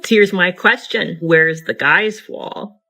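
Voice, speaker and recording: Sardonic bright-voiced woman in her forties. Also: fairly low pitch, slow. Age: 40s. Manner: sardonic, pointed. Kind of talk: commentary.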